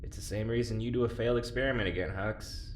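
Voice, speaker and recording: A man playing a guilt-ridden, stubborn prisoner in an audio drama, halting, blunt and naturalistic. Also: lowish voice, steady tone, close to an everyday voice. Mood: bitter, regretful. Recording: roomy echo, clear background noise.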